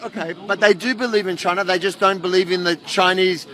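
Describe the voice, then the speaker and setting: A man's voice: sits high, steady tone, fast, bright, forceful tone. A man interviewing people on a city street, pressing them with repeated questions.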